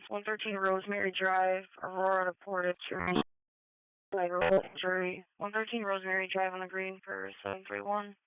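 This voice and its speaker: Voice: mid-range voice, little pitch movement, moderate pace, clean tone, dry recording. An emergency dispatcher reading out calls in radio dispatch style over a two-way scanner channel. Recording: narrow, phone-like scanner radio.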